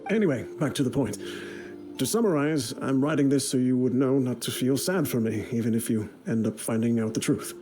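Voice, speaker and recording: A man's voice: medium pitch, brisk, over music, close to an everyday voice. An actor playing an ailing older mercenary father in a video game, boastful and affectionate, rambling through a letter in voice-over. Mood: confiding, amused. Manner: rambling, chuckling, jovial.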